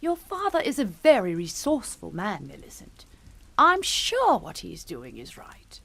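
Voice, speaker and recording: Genteel mother, bright musical intonation. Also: medium pitch, wildly swooping pitch, a little slow, vocal fry, dry recording, exaggerated voice. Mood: soothing. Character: composed middle-aged English mother, soothing, loyal wife. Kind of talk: suspense radio drama acting.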